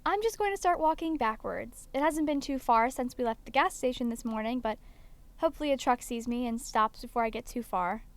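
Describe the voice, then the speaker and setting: Woman playing a high-voiced, determined young student. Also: a little fast, close to an everyday voice. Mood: determined, hopeful. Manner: earnest, determined. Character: hopeful, brave young student rock climber Joanne. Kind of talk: amateur audio drama acting.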